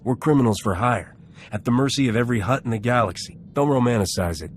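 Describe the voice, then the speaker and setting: An actor playing a cynical, unsentimental smuggler captain in a video game, blunt and sarcastic. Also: male voice, medium pitch, fast talker, a little hiss or hum, lightly acted. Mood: dismissive.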